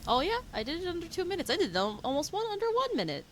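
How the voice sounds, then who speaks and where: A woman's voice: extremely high pitch, huge pitch swings, quick pace, slight background noise. A woman gaming streamer giving casual reaction commentary as she plays. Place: game audio and music behind the voice.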